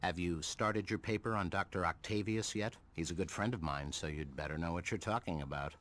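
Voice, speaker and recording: An actor playing a stern but caring middle-aged science professor in a video game. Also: male voice, low voice, a little fast, a bit bright. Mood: exasperated. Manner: stern, admonishing.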